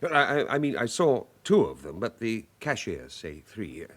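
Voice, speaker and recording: An actor playing a shaken, apologetic bank manager in a radio drama, hesitant and polite. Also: male voice, lowish voice, very animated, a little slow. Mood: shaken, flustered. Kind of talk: British radio drama acting.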